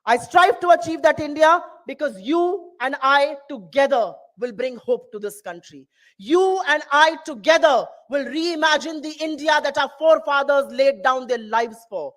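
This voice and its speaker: High-voiced woman giving a rousing speech. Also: lively intonation, normal speed. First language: Hindi. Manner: rousing, repetitive rhetoric. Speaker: political speaker. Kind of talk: passionate public speech. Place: town hall.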